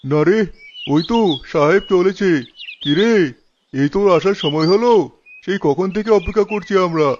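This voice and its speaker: A man's voice: high-pitched, moderate pace, lightly acted. A man voicing a chatty, teasing village man at a tea stall in a cartoon. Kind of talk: cartoon character voice acting. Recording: dull audio, faint noise, birdsong. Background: birds chirping.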